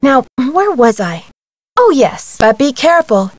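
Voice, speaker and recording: A woman's voice: high voice, big pitch swings, a little slow, dry recording, exaggerated voice. A woman playing a young, confident amateur detective, giving friendly scripted tutorial instructions in a video game. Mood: chipper, instructive. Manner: friendly, clear instructional delivery.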